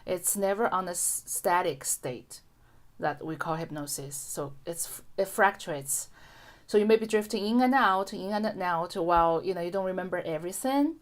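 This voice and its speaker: A middle-aged woman hypnotherapist answering a listener's question in a calm, gentle way, with frequent ums and restarts. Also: fairly low pitch, normal speed. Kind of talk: casual explanatory talk to camera.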